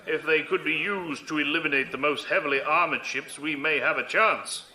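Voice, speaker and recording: An actor playing a grave fleet officer in a science fiction audio drama, with formal, deliberate delivery. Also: male voice, fairly high pitch, bright, forceful tone. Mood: grave, regretful. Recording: echoey room.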